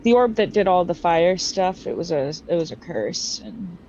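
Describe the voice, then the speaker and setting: Low, creaky-voiced woman gamer. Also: lively intonation, moderate pace, dark tone. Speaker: player in tabletop game. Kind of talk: casual game chat. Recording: dull muffled mic, faint noise.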